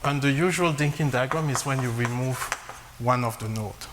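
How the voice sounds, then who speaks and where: A man who grew up in Congo giving a math lecture, halting with frequent fillers and restarts. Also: mid-range voice, a bit bright. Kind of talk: academic lecture at a blackboard. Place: lecture hall. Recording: long reverb, faint hiss.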